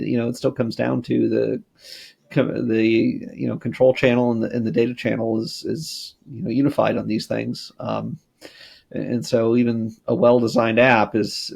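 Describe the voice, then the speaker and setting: Gravelly, husky-voiced man chatting casually. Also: medium pitch, darkish tone. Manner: thoughtful, loose, trails off and restarts. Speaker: podcast co-host and app tester. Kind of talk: casual panel chat.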